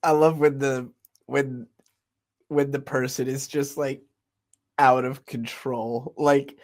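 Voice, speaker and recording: A man's voice: medium pitch, little pitch movement, slow. A man giving casual, sweary reaction commentary as a streamer. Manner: informal, sweary.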